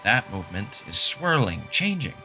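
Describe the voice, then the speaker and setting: Guy playing a smug doomy math genius in an audio drama, lowish punchy voice, lectures emphatically, music underneath. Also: very animated, normal speed, sharp tone, heavily acted. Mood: lecturing.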